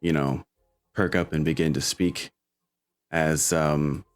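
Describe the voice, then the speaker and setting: A man narrating a tabletop game as game master, with a halting, hesitant delivery. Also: rumbling low, steady tone, smooth.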